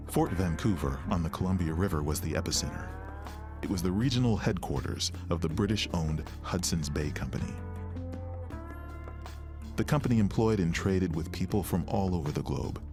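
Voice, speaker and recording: Male narrator, low-pitched, scripted history documentary read over clear guitar music. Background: plucked guitar music.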